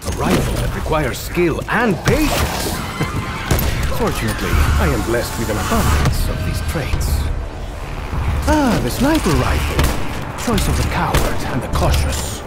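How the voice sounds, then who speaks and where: Casting: vain, romantic video game adventurer, high-pitched for a man, pompous and florid, wildly lilting, theatrical. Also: wildly swooping pitch, a little slow, long pauses, full character voice. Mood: self-satisfied. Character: flamboyant, vain, romantic male gentleman adventurer. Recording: loud game music and gunfire behind. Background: loud music, gunfire.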